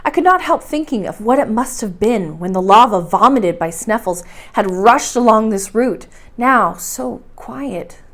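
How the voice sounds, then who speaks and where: Animated woman reading a story aloud. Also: on the high side, a little slow, hardly any pauses. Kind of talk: expressive scripted audiobook narration.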